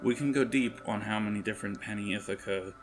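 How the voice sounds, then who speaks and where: Low, gravelly man running a tabletop game. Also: quick pace, music in the background. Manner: rambling, improvising, hesitant phrasing. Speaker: game master. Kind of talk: casual tabletop game narration.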